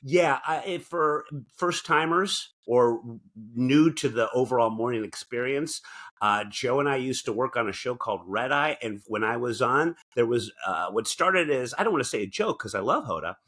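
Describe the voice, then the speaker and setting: A man co-hosting a podcast in casual comedic chat, husky, with a wry, self-deprecating way of joking. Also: medium pitch, lively intonation, moderate pace, fairly bright tone. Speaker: podcast co-host.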